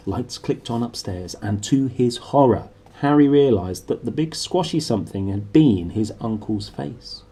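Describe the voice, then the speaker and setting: A man's voice: medium pitch, dark tone, breathy edge. A man narrating a storybook aloud in a dramatic, playful way. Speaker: book narrator. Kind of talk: animated storybook read-aloud.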